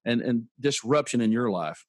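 A man's voice: mid-range voice, lively intonation, normal speed, no room sound, studio quality. A male podcast host delivering upbeat, emphatic motivational patter with a salesy push.

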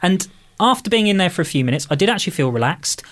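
A woman's voice: fairly low pitch, very animated, fast talker. A woman co-hosting a podcast, telling anecdotes in a casual, playful, joking way. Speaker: podcast co-host.

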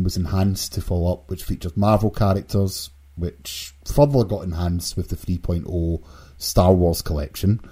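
Male podcast host, husky and soft-edged, mellow tone, casual news chat. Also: deep voice. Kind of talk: casual gaming news discussion.

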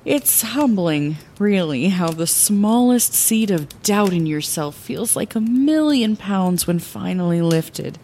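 A woman's voice: mid-range voice, expressive, slow pace, few pauses, warm tone, slight background noise. A woman playing a wry, impulsive lighthouse keeper who narrates her adventures theatrically in a fantasy audio drama. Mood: awed, reflective.

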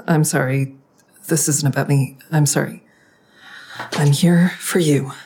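An actress playing a hesitant, guilt-ridden grown daughter who narrates and confesses plainly in an audio drama. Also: female voice, deep voice, steady tone, unhurried, mellow, slight background noise. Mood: hesitant, guilty. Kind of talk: audio drama acting with first-person narration.

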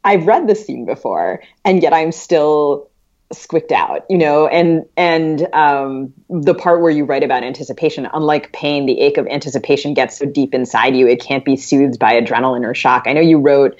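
A woman's voice: sits low, moderate pace, darkish tone. A woman co-hosting a podcast, interviewing in a thoughtful, discursive conversational way. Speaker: podcast co-host. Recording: dull lo-fi recording.

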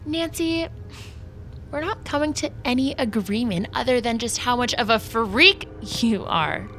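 High-voiced, drawling catty mean-girl woman. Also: unhurried, fairly bright tone. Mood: mocking. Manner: drawling, snide, slangy. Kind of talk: audio fiction drama acting. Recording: music clearly underneath.

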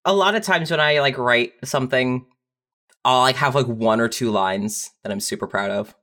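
A young adult speaking casually and candidly, telling personal stories in a halting way with frequent repeated words. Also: medium pitch, sharp tone. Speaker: podcast guest writer.

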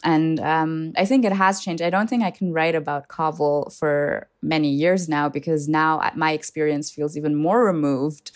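A low-pitched young woman, born and raised in Germany, talks through her ideas slowly and reflectively. She often circles back to find the right word. The sound is dry and close. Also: fairly even intonation, dry recording. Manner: thoughtful, measured, searching for words. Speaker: poet guest. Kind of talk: reflective conversation.

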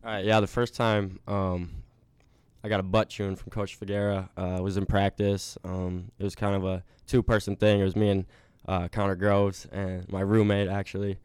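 A man's voice: low voice, little pitch movement, normal speed, darkish tone, clean tone, no echo. A young man who plays college basketball, answering interview questions in a laid-back way with lots of filler words. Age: young adult. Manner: laid-back, many ums.

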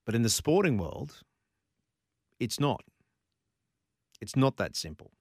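An Australian man hosts a sports talkback show in a conversational, questioning way. His voice sits at an ordinary middle pitch. The sound is close and dry. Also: big pitch swings, slow, creaky, darkish tone, no room sound. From Brisbane, Australia. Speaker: radio sports host. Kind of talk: talkback radio monologue.

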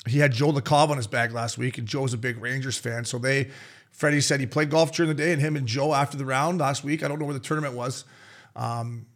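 A man co-hosting a podcast with a raspy voice, telling stories fast and full of swearing, acting out other people's lines. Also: medium pitch, fairly even intonation. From Canada. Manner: fast, profane storytelling with voiced quotes. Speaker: podcast co-host. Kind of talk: casual chat.

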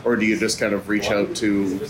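Guy host asking rambling questions, low voice, loud café crowd all around. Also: flat delivery, a little fast, rough recording. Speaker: podcast host. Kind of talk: interview questions. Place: downtown coffee shop. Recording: loud coffee shop crowd behind.

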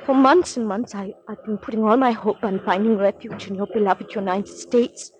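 An actress playing an anxious young Norwegian refugee in a 1940s radio drama, speaking haltingly and formally in an earnest way. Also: female voice, mid-range voice, steady tone, close to an everyday voice. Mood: pleading, desperate. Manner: halting, repeats words, earnest, formal phrasing. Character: anxious young Norwegian refugee woman, stateless, pleading, proper. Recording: noisy 1940s radio recording.

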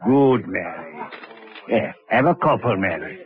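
A man playing a crude, boozy, leering lower-class murderer in a 1950s radio drama, lingering over his words. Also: expressive, measured pace. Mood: coaxing. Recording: muffled old radio recording.

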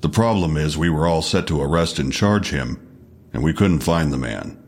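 Very deep-voiced probing detective. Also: male voice, animated, husky, heavily acted. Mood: grave, insinuating. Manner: measured, clipped, official. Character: probing, unflappable male police detective. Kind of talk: audio drama interrogation.

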